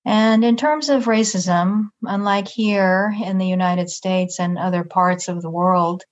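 A middle-aged woman from Japan, a native Japanese speaker, giving measured, thoughtful interview answers and choosing her words carefully. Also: on the low side, steady tone, muffled recording. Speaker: interview guest.

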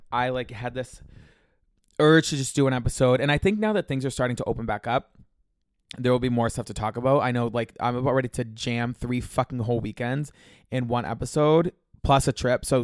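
A man's voice: mid-range voice, no room sound, clean studio sound. A young man hosting his own podcast alone, talking casually in a chatty, profane, gossipy way, his voice a little congested with a scratchy throat. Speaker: podcast host. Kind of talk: casual solo podcast monologue.

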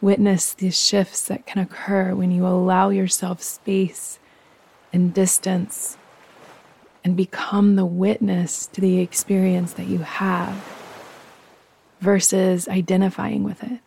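A woman guiding a yoga nidra meditation in a soft, breathy voice, calm, soothing and unhurried. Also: mid-range voice, low-quality recording. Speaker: meditation guide and host.

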